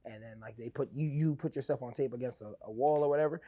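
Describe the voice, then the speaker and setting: A man, telling stories as an actor guest in a casual interview. He rambles in an animated way with many fillers. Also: mid-range voice, fast. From New York. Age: 20s.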